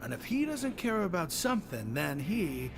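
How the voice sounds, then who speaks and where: An actor playing a cocky, self-important gossiping inmate in a video game, boastful and conspiratorial. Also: male voice, high voice, very animated, normal speed, creaky, exaggerated voice. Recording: game music behind the voice.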